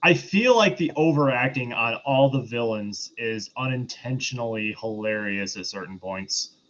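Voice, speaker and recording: A man gives his opinions in a casual review chat. His voice is of average pitch, and the recording is a little dull and lo-fi. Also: moderate pace, a bit bright. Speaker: review show panelist.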